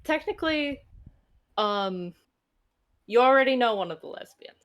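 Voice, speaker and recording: A woman's voice: on the high side, animated, a little slow, a bit bright. A woman chatting casually as co-host of a stream where visual novels are read aloud. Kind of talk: casual banter.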